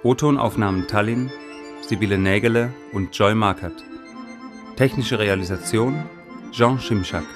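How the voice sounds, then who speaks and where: A male radio announcer with a fairly low voice reads out drama credits. His delivery is formal and orderly, set over clearly audible music. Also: a little slow. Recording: music bed behind the announcer.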